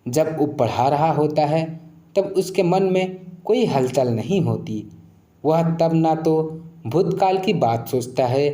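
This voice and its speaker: Slow, echoey male spiritual narrator. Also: on the high side, lively intonation, mellow. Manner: measured, explanatory, reflective delivery. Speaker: narrator of moral story channel. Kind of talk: motivational spiritual narration, scripted.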